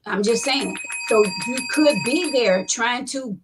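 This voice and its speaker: Woman, slightly high voice, careful and hesitant, casual panel chat. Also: slow, noisy background. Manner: thoughtful, hesitant, restarts while weighing her words. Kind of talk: casual group discussion.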